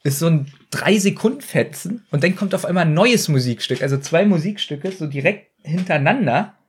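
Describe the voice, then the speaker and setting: A man's voice: on the high side, expressive, brisk, breathy edge. A man, chatting casually as a podcast co-host.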